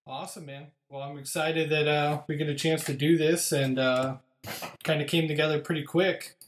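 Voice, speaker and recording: A man's voice: on the high side, flat delivery, moderate pace, echoey room, slight background noise. A man hosting a podcast, chatting casually and upbeat with lots of fillers. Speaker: podcast host.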